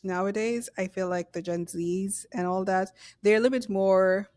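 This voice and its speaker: A woman with a mid-range voice thinks out loud as she co-hosts a podcast, hedging her points. Her voice sounds dry and close to the mic. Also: mid-range voice, fairly even intonation, normal speed, dry recording. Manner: thoughtful, hedging, frequent affirming murmurs. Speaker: podcast co-host. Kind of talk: casual podcast conversation.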